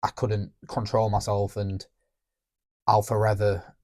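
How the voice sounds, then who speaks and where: A young man talking candidly on a podcast, reflective and halting, often restarting his sentences. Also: on the low side, monotone, a little mellow, no room sound, clean studio sound. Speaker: podcast co-host. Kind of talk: candid emotional conversation.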